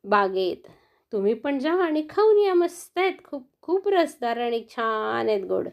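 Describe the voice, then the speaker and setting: A woman's voice: on the high side, very animated, measured pace, creaky, highs cut off, exaggerated voice. A woman voicing a cheerful little elephant boy. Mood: excited.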